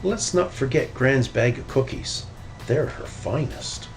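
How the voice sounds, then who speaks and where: A man's voice: medium pitch, a little slow, soft background music, pitched above the performer's own voice, natural voice. A man voicing a kindly, wise old grandfather bear with a folksy, teasing manner in a children's storybook. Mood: wry, teasing.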